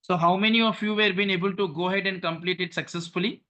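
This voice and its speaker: Bright-voiced guy running an online class on a call, keeps saying okay and right. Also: high-pitched, steady tone, fast talker. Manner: repeats "okay" and "right", walks through steps on screen. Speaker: trainer. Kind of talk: online training session.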